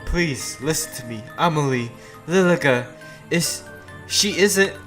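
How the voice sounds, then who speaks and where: A man voicing a frightened young woman from a visual novel, with hushed, halting, anxious pleading. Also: medium pitch, soft background music, pitched above the performer's own voice, close to an everyday voice. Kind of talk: visual novel character read aloud.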